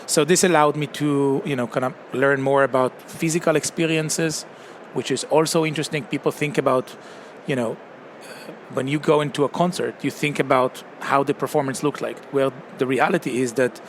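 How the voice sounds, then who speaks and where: Guest CEO, male, forties, Israeli accent, relaxed and filler-heavy; light trade-show noise behind. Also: medium pitch, a little fast. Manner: casual storytelling, many fillers like "kinda" and "um". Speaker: retail company CEO, guest. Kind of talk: conversational interview. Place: busy trade show floor, open-roof podcast booth. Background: crowd chatter and noise.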